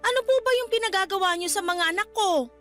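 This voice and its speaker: A protective young Filipino mother in a radio drama. Her voice is very high, polite but firm and pleading. Soft music sits faintly behind her. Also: fast. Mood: defensive.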